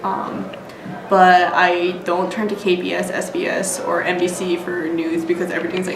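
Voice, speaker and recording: American woman chatting in an interview, lowish voice, says like a lot, noisy echoey recording. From United States. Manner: frequent "like" and "you know", informal. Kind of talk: casual interview answers.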